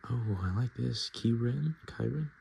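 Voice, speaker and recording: A man speaking softly and often whispering in a casual ASMR style, with hesitant, halting, murmured delivery. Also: mid-range voice, animated, gravelly. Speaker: ASMR video host. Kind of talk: soft-spoken and whispered ASMR, casual commentary. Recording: noticeable background noise.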